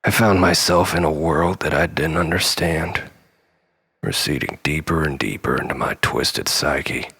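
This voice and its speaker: A man's voice: extremely low pitch, a little slow, vocal fry, sharp tone, slightly rough. A man delivering a solemn, theatrical, darkly comic monologue in a brooding style.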